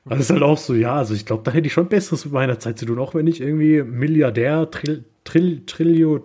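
Man in halting, casual film chat. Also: a little high, mellow. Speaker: podcast co-host.

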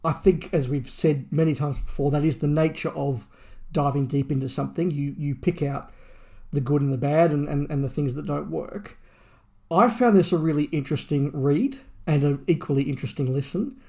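Guy on a review podcast, gravelly edge, chatty n opinionated, keeps qualifying his points. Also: on the high side, moderate pace, mellow. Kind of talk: casual discussion and review.

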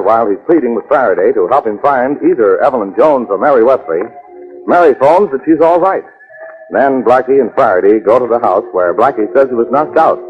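A man narrating as an announcer in scripted 1940s radio style. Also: medium pitch. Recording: muffled old radio recording. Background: music.

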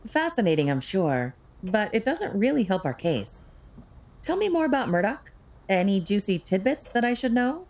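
A woman's voice: medium pitch, sing-song, a little mellow. A woman playing a witty, sarcastic crime-fighting tech expert in an audio drama, with a wry manner. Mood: wry, teasing. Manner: wry, sarcastic, quick-witted.

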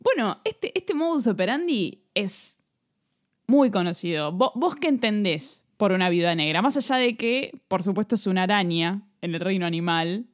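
A woman's voice: mid-range voice, animated, moderate pace. A woman with an Argentine accent co-hosting a true-crime podcast, telling a case in casual chat, laughing often and restarting her sentences. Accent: Argentine Spanish.

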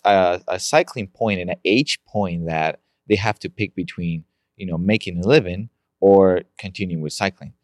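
Deep-voiced Colombian man, dry close sound. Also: dry recording. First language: Spanish. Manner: halting, restarts sentences, non-native grammar. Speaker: guest, amateur bike racer. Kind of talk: casual interview chat.

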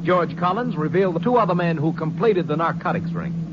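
Guy reading how the case ended, high voice, super hissy old radio. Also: fairly quick. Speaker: epilogue narrator. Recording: muffled old radio recording with loud hiss.

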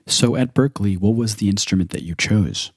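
A male podcast host reading a measured, scripted introduction and then asking his guest questions. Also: lowish voice, breathy edge, no echo, clean studio sound. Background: background music.

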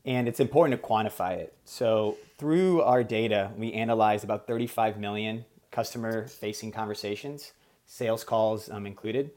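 Man, native Portuguese speaker, slightly low pitch, podcast guest, business interview style, measured explanatory delivery. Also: a little mellow. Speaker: podcast guest, sales executive.

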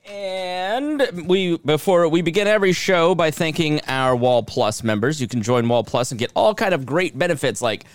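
A man's voice: fairly high pitch, animated, moderate pace, hardly any pauses, clear, resonant tone, dry recording, studio quality. A middle-aged man hosting a podcast, reading promos and announcements. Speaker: podcast host.

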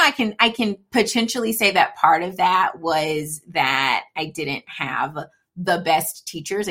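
A woman's voice: lowish voice, fairly bright tone. A woman in her thirties, a guest on an interview podcast, talking casually and saying "you know" often. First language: English. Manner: chatty, peppers speech with "you know". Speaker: podcast guest, supply chain consultant.

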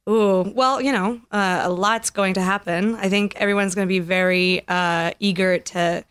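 A woman's voice: medium pitch, fairly even intonation, normal speed, fairly bright tone, clean tone, no room sound. A French-born woman with no accent, an actress, answering a radio host's questions in a friendly, modest way with frequent fillers. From France. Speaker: actress guest. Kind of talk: casual interview. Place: radio studio.

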